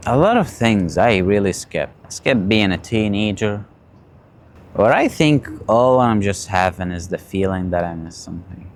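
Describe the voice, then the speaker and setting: Male, late teens, lowish pitch. Casual confessional vlogger, halting delivery with repeated phrases. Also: expressive, a little hiss or hum. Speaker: vlogger sharing personal stories and advice. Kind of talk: casual confessional talk, storytelling.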